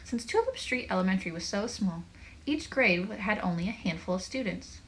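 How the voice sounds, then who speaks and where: A woman reading a children's book aloud as a teacher, in an expressive, animated way with chatty asides to young listeners. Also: medium pitch, fairly quick, a little hiss or hum, low-quality recording.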